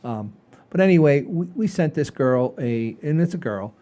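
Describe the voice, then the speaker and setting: Man, mellow dark voice, casual host chat, halting delivery, repeated phrases for emphasis. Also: fairly high pitch, animated. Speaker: host of a comedy show.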